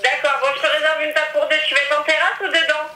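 Bright, high-voiced woman on speakerphone. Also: little pitch movement, quick pace. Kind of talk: casual phone conversation. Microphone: phone call picked up in room. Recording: phone on speaker, echoey room, hiss.

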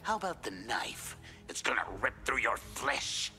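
An actor playing a gleefully menacing masked slasher killer in a video game, gravelly and teasing, drawing out his words. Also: mid-range voice, sing-song, pushed and bright, soft background music, heavily acted. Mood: gleefully violent. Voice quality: gravelly, breathy at times. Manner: sinister, teasing, drawn-out delivery. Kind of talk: video game villain acting.

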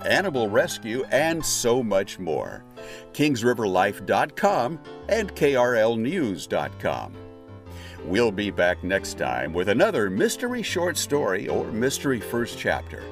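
A man's voice: lowish voice, sing-song, a little slow. A man reading a scripted podcast sign-off as the announcer. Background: guitar music.

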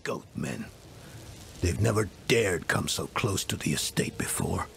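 An actor playing a weary but proud old demon-slaying lord in a video game, grave and dramatic, with a gravelly voice. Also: male voice, sits low, expressive, a little slow, heavy background noise, exaggerated voice. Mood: grave, thoughtful. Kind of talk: video game cutscene acting.

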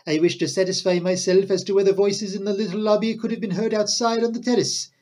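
A man reading an audiobook, voicing a dapper, excitable foreign detective with emphatic, courteous, quaint phrasing. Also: sits high, fast talker, voice pushed up, natural voice. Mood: courteous, scheming. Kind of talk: audiobook character dialogue.

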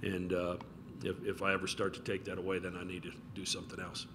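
A college basketball coach in his fifties talks into a table mic at a press conference, relaxed and rambling, full of "you know" and restarts. His voice sits a bit low. Steady room noise hums behind him. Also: fast.

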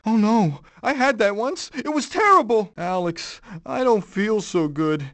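A man's voice: high voice, animated, unhurried, fairly bright tone, dry recording. A man voicing a whiny, fretful hypochondriac giraffe in a cartoon game. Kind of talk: animated game voice clips.

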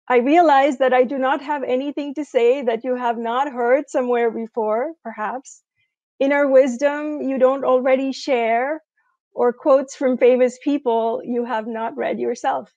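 High-voiced middle-aged woman giving a speech. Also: creaky, a little mellow, no echo. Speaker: commencement keynote speaker, graduate of the school. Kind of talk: prepared inspirational commencement speech. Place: small room indoors, remote video recording. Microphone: wired earphones headset mic. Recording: dull, lo-fi video-call sound.

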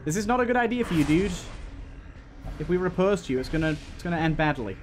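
A man with a slightly high voice narrates his video game play casually. He thinks out loud and speaks to the characters as he goes, with music playing behind him. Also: very animated, quick pace. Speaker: gaming video host. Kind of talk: casual gameplay commentary.

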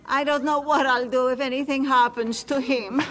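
A woman's voice: high voice, steady tone, moderate pace, big-room sound, over a noisy crowd, close to an everyday voice. An actress playing a middle-aged, doting, tipsy mother in a video game cutscene. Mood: dramatic.

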